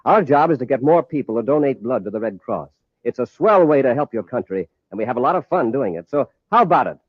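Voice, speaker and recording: An actor playing an earnest, commanding space patrol commander in 1950s radio adventure style, with an American accent. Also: male voice, mid-range voice, expressive, fast talker, husky, no room sound. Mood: earnest, rallying. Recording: muffled old radio transcription.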